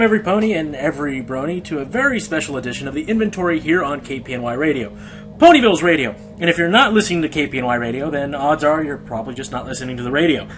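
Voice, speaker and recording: A man's voice: on the high side, a bit bright. A man acting as an earnest, easily exasperated male pony who hosts a radio show, insistent and theatrical, in a radio play. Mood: showy announcer.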